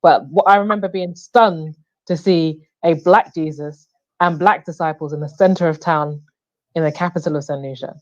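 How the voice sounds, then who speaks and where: A woman on a book club panel recalls details with careful thought. Her voice sits fairly low and comes through a dry, dull, lo-fi line. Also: steady tone, normal speed, no room sound. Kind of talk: casual panel discussion.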